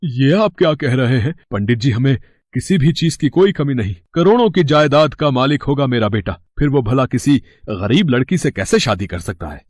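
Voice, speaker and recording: A man voicing a proud, rich merchant father in an animated story, speaking in a boastful, indignant way. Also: medium pitch, normal speed, no room sound, clean studio sound, close to an everyday voice.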